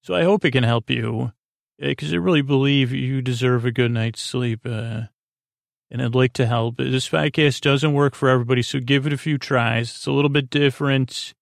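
A man hosting a sleep podcast in a gravelly voice, rambling through a halting, meandering bedtime monologue full of tangents and broken-off sentences. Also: mid-range voice, fairly even intonation, no room sound, studio quality. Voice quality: gravelly, creaky voice. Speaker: podcast host.

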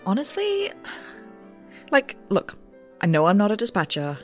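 An eager young female ghost researcher in an audio drama. Her delivery is animated and swings widely in pitch, with nervy, self-justifying, wry asides. Faint music sits behind her chatty audio notes. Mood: amused, sheepish.

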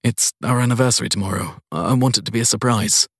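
A man's voice: fairly low pitch, fast, husky, no room sound, studio quality, pitched above the performer's own voice. A man voicing a weary, guarded courier in a crime audiobook, answering briefly and hesitantly to placate authority. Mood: nervous, placating. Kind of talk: audiobook crime story character voice.

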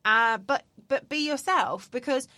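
A woman's voice: high voice, a little slow, pushed and bright, no room sound. A woman in her thirties, chatting candidly as a podcast co-host with lots of fillers. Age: 30s. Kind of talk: casual chat.

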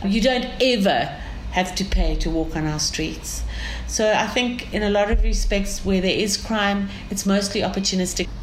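A woman's voice: mid-range voice, normal speed. South African woman in an echoey room. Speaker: interview guest. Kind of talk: enthusiastic conversational interview. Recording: echoey room with faint ambient noise.

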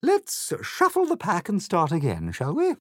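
A man's voice: sits high, wildly swooping pitch, measured pace, fairly bright tone, no room sound, clean studio sound, voice pushed up, exaggerated voice. A man voicing an imperious, cruel queen of a card kingdom who speaks grandly and screams in bursts. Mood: falsely gracious.

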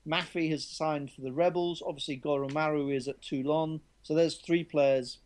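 A man's voice: on the high side, fairly even intonation, normal speed, darkish tone. A man being interviewed as a rugby journalist, talking candidly and often restarting his sentences. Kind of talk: conversational interview answers.

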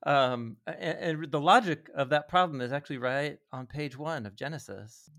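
A man's voice: fairly high pitch, moderate pace, a bit bright. A man talking as a podcast guest and Bible teacher, explaining things earnestly in a conversational way with frequent small chuckles.

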